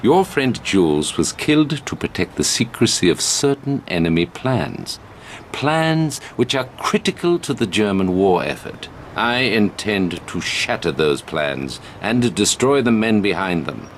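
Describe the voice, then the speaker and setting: An actor playing a suave, calculating British spymaster in a video game, speaking in a measured, formal and wry way. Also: male voice, fairly low pitch, sing-song, a little hiss or hum. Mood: suave, dry. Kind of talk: video game cutscene acting.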